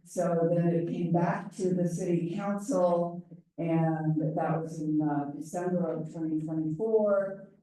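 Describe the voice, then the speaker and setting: Older woman volunteer presenter, loud mellow voice, chatty asides, heard far off in a big echoey room. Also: fairly low pitch, fairly even intonation, a little slow. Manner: chatty, self-deprecating, wandering asides. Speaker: volunteer presenter on ballot issues. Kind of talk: informal public briefing. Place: large meeting room.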